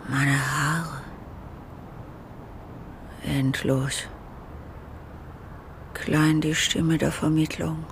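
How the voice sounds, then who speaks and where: A woman acting a weary, disoriented driver in a poetic radio monologue, speaking in fragmentary, halting, often hushed phrases. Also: low-pitched, a little hiss or hum, heavily acted.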